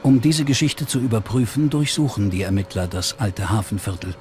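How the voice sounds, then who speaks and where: A man's voice: fairly low pitch, steady tone, a little fast, a little mellow, a little hiss or hum. A man reading scripted true-crime documentary narration as the narrator.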